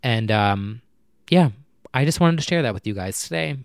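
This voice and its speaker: A young man chatting casually at night, rambling with lots of fillers and talking low so his neighbors won't hear. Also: animated, moderate pace, vocal fry, clear, resonant tone, no room sound, studio quality. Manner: talking low and quietly to keep neighbors from hearing. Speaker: vlogger. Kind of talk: casual personal chat to camera. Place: apartment at night.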